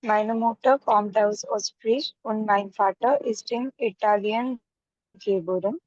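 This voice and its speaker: Girl student reading german slow n careful, muffled call audio, dry. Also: medium pitch, darkish tone, dry recording. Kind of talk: reading a text aloud in class. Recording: dull video call audio.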